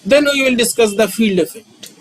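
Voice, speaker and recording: A man, lecturing on physics and repeating key terms with frequent pauses. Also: very high-pitched. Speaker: physics teacher. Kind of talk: slow, repetitive classroom lecture.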